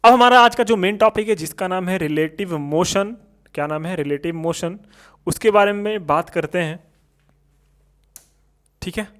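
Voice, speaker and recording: High-pitched man teaching. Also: normal speed, pauses often. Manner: repeats points as rhetorical questions, checking in with students; switches between Hindi and English technical terms. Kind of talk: exam coaching lecture at a board.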